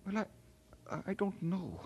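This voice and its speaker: Earnest young Scottish immigrant, animated delivery. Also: male voice, high voice, normal speed, slightly rough, exaggerated voice. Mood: weary, discouraged. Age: young adult. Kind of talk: old radio drama acting.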